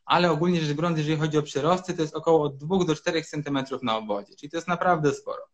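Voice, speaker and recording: A man in his thirties answers interview questions as a doctor over a video call. His voice sits a little high for a man. He explains step by step and fills pauses with hesitation sounds. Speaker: urologist guest. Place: home room, indoors.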